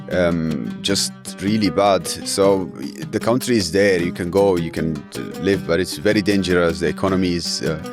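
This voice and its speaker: A man in his thirties, raised in Syria, speaking English as an in an earnest, halting way with many fillers. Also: low voice. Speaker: guest. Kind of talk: emotional interview.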